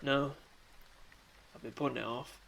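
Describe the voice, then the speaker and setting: An actor playing a pensive, solitary young man in a radio drama monologue, speaking in a reflective, halting, poetic way with a creaky voice. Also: mid-range voice, steady tone, a bit bright, slight background noise. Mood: hesitant.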